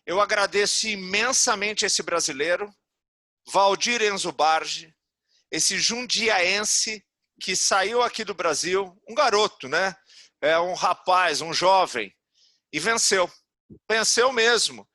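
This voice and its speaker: A man's voice: high voice, lively intonation, slow, sharp tone, no room sound. A Brazilian man hosting a podcast interview, effusive and folksy as he wraps up the talk. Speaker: podcast host.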